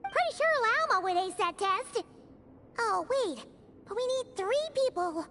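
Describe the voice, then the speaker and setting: Squeaky, excitable little fairy girl. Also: unhurried, full character voice. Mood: excited. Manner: exclaiming, blunt, impatient. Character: small, excitable floating fairy girl companion, childlike. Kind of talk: video game voice acting. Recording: music playing behind the voice.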